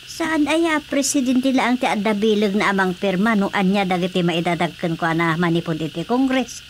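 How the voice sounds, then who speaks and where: A woman's voice: medium pitch, expressive, normal speed, slight background noise, close to an everyday voice. A woman playing a skeptical gossiping woman in a radio drama, with a Filipino accent and exclamatory sighs. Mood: teasing. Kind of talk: Ilocano radio drama dialogue.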